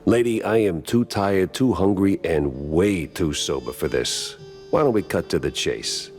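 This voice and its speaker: An actor playing a weary, wisecracking hardboiled mouse detective in a noir video game, deadpan and world-weary. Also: male voice, low-pitched, measured pace, soft background music. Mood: weary, dismissive.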